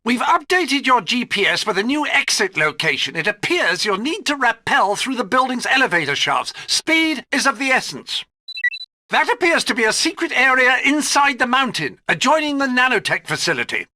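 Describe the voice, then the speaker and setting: An actor playing a fussy, exasperated older British gadget master in a video game, precise and testy. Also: male voice, very high-pitched, sing-song, moderate pace, sharp tone, no echo, exaggerated voice. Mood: instructive.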